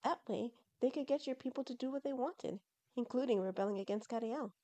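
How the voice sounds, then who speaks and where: A thoughtful male outsider from a storybook, played by a woman reader. The voice is mellow and rather high for its reader's range. He reasons plainly and skeptically. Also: a little fast, natural voice.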